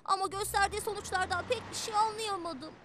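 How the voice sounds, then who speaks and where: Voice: extremely high pitch, fast, very noisy. A performer voicing an anxious, curious young talking bus in a children's cartoon dub, with music underneath. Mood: puzzled.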